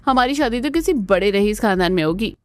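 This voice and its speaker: A woman's voice: medium pitch, lively intonation, a little fast, no room sound, natural voice. A woman voicing a spiteful, snobbish young older sister in a Hindi cartoon, with an exaggerated, sneering manner. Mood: scornful. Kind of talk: Hindi family cartoon voice acting.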